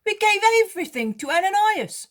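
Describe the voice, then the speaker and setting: A man's voice: very high-pitched, big pitch swings, fairly quick, a bit bright, pitched above the performer's own voice, exaggerated voice. A woman voicing an impatient male disciple who blurts out his worries. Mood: exclaiming. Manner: exclaiming.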